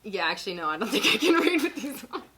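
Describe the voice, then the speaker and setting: A woman in her twenties hosting a casual comedic vlog, giggly, animated and self-mocking, sometimes mock-solemn as she reads aloud. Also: mid-range voice, quick pace. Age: 20s. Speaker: vlog host reading own teenage writing. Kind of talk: casual comedic vlog chat with dramatic reading.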